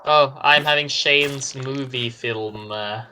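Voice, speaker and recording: A woman's voice: extremely low pitch, takes it slowly, pushed and bright. A woman chatting playfully and teasing her friends during a co-op video game. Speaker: gamer in a streamed co-op game. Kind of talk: casual chat while gaming with friends. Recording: noisy mic with clicks.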